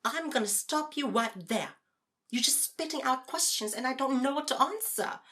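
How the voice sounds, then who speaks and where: A woman performing a scripted, conversational debate for an audio drama podcast, with an expressive, theatrical delivery. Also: high voice, moderate pace. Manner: theatrical, expressive, asks rhetorical questions. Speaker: podcast host performing both sides of a scripted dialogue.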